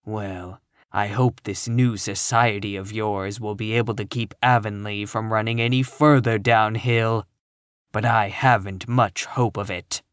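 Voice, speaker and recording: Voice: medium pitch, unhurried, dry recording, clean studio sound, pitched below the performer's own voice, lightly acted. A narrator voicing a grim, sour, scornful spinster in her fifties from a storybook, full of gloom and contempt. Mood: gloomy, retorting. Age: 50s. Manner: grim, scornful, flat pronouncements.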